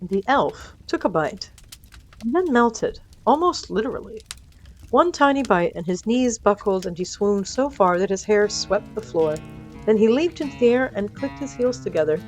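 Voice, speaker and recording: A woman's voice: medium pitch, lively intonation, moderate pace, darkish tone. A woman narrating a comic audio story in a playful, conversational way, with music behind her.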